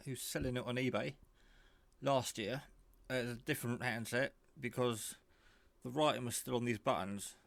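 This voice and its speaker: Man, low-voiced, casual and rambling. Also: no room sound. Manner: rambling, informal. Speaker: radio hobbyist showing a handset. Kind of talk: casual product show-and-tell.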